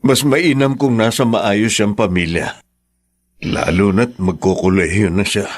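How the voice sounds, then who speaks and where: A man's voice: on the low side, unhurried, dry recording, studio quality. An actor playing a troubled, guilt-ridden middle-aged father and ex-convict in an emotional radio drama. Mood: remorseful, troubled. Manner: emotional, brooding or scolding.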